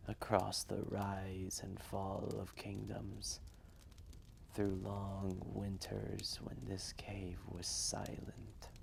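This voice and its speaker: Man voicing an ancient dragon, very gravelly and slow. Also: on the low side, completely flat delivery, noisy background, heavily acted. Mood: reflective, solemn. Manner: hushed, breathy, measured, with long pauses. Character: ancient wise dragon, gentle, reflective, fatherly. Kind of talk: slow intimate storytelling roleplay, ASMR-like.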